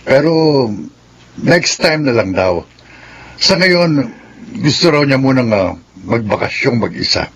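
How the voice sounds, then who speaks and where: A man's voice: medium pitch, animated, unhurried, long pauses, a bit bright, breathy edge, exaggerated voice. An actor playing a jovial middle-aged widowed father in a radio drama, chatty and easygoing. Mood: easygoing, hopeful.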